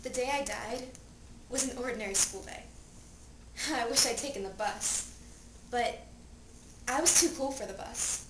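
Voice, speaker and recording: In an echoey, noisy room, a young woman acts out a dead seventeen-year-old girl telling her story in a high voice. Her dramatic monologue is theatrical and anguished, moving between hushed recollection and desperate pleading. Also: measured pace, long pauses, natural voice. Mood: wistful. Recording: echoey room, distant mic, clear noise.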